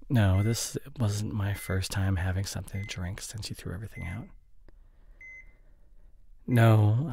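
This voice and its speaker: Slow, halting, guilt-ridden boyfriend, acted by a man. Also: lowish voice, slight background noise. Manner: halting, stammering, apologetic. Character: guilty, remorseful drinking boyfriend, soft and pleading. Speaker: audio roleplay voice actor. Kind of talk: intimate boyfriend audio roleplay.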